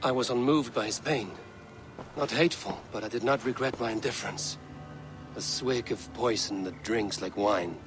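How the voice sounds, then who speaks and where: An actor playing a grave, resolute Viking warrior in a video game, speaking in a solemn, measured, formal way. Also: male voice, medium pitch, natural voice. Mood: remorseless, grave. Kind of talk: dramatic video game cutscene acting. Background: music.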